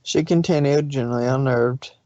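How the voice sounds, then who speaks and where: A woman's voice: extremely low pitch, brisk, warm tone, no room sound, highs cut off. A woman reading scripted story narration as the narrator of a fan fiction.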